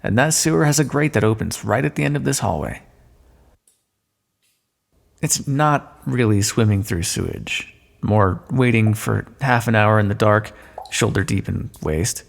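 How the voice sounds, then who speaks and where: A man playing a smug, sardonic thief in an audio roleplay, speaking with mocking mock-courtly politeness and drawn-out emphasis in a long echo. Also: fairly low pitch, vocal fry. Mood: boastful, sly. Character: smug, sardonic male thief, gloating at a fallen monarch. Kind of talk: scripted audio roleplay, theatrical monologue. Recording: long echoing reverb.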